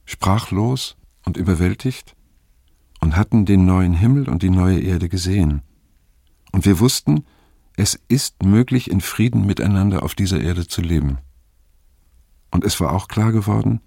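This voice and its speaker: An actor playing a reflective man who narrates his memories in a radio drama, measured and solemn, with a low creaky voice. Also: expressive, mellow, no room sound, clean studio sound, full character voice. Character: reflective male narrator recalling a past LSD experiment.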